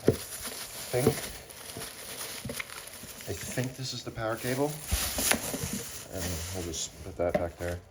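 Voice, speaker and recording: A man giving casual, unscripted commentary as a hobbyist doing an unboxing, speaking haltingly with pauses. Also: fairly low pitch. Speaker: hobbyist unboxing weather radios. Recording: clear background noise, handling clicks.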